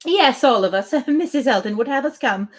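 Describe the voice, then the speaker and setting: A woman's voice: high-pitched, lively intonation, normal speed. A woman reading a classic novel aloud, voicing a middle-aged spinster who chatters on in a breathless, anxiously grateful, repetitive ramble. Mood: excited rambling.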